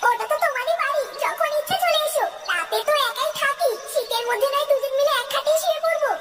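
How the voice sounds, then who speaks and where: A woman's voice: extremely high pitch, fast, music in the background. A woman voicing a coy, flirtatious, coaxing village sister-in-law in a comic cartoon. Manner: coaxing, suggestive.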